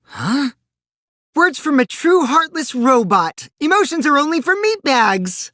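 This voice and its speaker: A man's voice: very high-pitched, sing-song, a little slow, pushed and bright, dry recording, clean studio sound, exaggerated voice. An actor voicing a mischievous, taunting teenage boy who lies for fun in a video game, theatrical and teasing, swinging from sly to gleeful. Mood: mocking glee. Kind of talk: animated video game voice acting.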